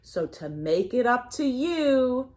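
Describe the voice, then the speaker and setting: A woman giving an expressive, sing-song picture-book read-aloud for young children, pausing to prompt her listeners. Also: on the high side.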